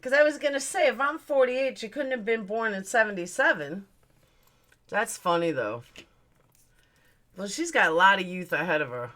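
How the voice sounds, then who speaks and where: A woman's voice: mid-range voice, expressive, normal speed, pauses often, fairly bright tone. A woman in her forties hosting a livestream, chatting casually as she reads out and answers viewer comments, blunt and swearing now and then. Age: 40s. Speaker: livestream host.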